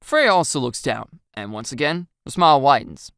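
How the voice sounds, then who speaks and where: A woman's voice: a bass voice, big pitch swings, moderate pace, fairly bright tone, clear, resonant tone, no room sound, studio quality. A woman reading a scripted first-person story as its narrator.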